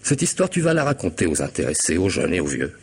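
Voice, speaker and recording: An actor playing a vengeful, mocking killer in a French radio drama, theatrical and menacing, moving between hush and outburst. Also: male voice, sits low, wildly swooping pitch, fast, heavily acted. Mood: mocking, commanding. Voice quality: husky, gravelly voice. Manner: theatrical menace, swinging from hushed to loud.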